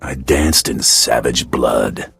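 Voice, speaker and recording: A man playing a curt, menacing bounty hunter in a video game, with a gravelly rasp and dry sarcasm. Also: a bass voice, takes it slowly, a bit bright, no room sound, faint music behind, full character voice. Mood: dry resolve. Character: gruff, callous male bounty hunter.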